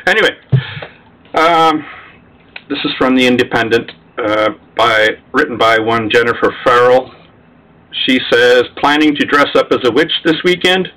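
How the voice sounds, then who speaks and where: Bright-voiced man, wry podcast co-host. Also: medium pitch, normal speed, low-quality recording. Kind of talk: casual panel chat.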